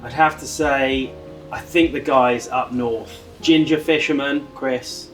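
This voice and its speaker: A man's voice: medium pitch, fairly even intonation, slow pace. A man from near London answering interview questions in a modest, easygoing way. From near London, England. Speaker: angler competitor. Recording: distant mic, faint music behind.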